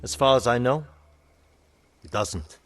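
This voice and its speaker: An actor playing a hardened male raider leader in a video game, with a husky voice and a blunt, sardonic manner. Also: mid-range voice, measured pace, lightly acted. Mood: wary, blunt.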